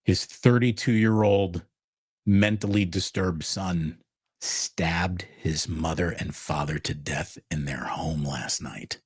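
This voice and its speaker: A man's voice: low-pitched, slow, breathy edge, no room sound. Emphatic man hosting a sports talk show. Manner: emphatic, repeats phrases for emphasis.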